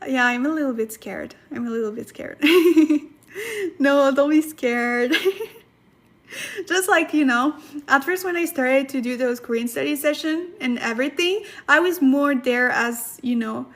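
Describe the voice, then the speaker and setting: High-voiced woman hosting a chatty live lesson. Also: a little slow. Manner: chatty, laughs often, many fillers. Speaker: Korean language teacher, livestream host. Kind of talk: casual livestream lesson, reading chat comments.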